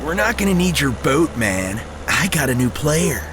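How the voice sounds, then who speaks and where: Cocky small-time crook, wildly animated. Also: male voice, fairly high pitch, normal speed, background noise. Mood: cocky, dismissive. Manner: swaggering, slangy. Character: cocky, reckless small-time male criminal driver. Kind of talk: audio drama acting.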